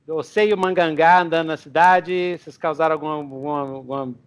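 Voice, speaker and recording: Game master, young man, high voice, rambling and improvising with chuckles. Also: steady tone, fairly quick, smooth. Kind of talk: narrating a tabletop game.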